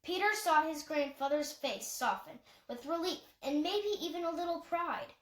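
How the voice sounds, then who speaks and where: A woman's voice: high voice, normal speed, roomy sound. A woman reading a novel aloud as scripted audiobook narration. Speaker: audiobook reader.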